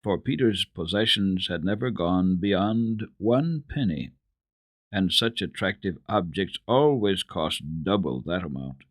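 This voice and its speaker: A man with a fairly low voice narrates a children's storybook for radio. His delivery is deliberate and dramatic, with highly animated intonation. The sound is close and dry. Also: no echo. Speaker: host reading children's stories on radio.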